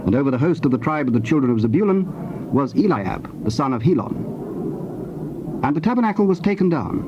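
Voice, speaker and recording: A man narrating scripture from a script in a measured, formal manner. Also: mid-range voice. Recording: dull noisy tape transfer.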